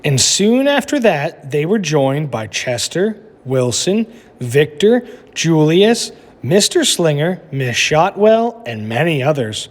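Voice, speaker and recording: A man teacher reading a picture book aloud to children with animated storytelling, then asking them questions about it. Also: fairly high pitch, takes it slowly. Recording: echoey room with long reverb.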